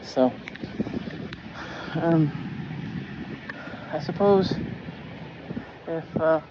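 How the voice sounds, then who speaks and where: A man in his forties rambles casually into a vlog while walking outdoors. His delivery is slow and halting, with self-deprecating asides as he thinks aloud. The sound is dull and lo-fi, with clear street noise behind him. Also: a little high. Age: 40s. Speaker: vlogger. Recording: dull phone audio, noisy street ambience with traffic.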